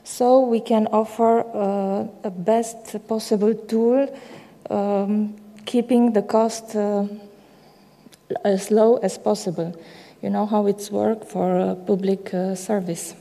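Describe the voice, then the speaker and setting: A woman with a Romanian accent, a native Romanian speaker, giving a technical conference presentation in halting English full of filler sounds. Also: mid-range voice, level tone, gravelly, dark tone. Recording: long hall reverb.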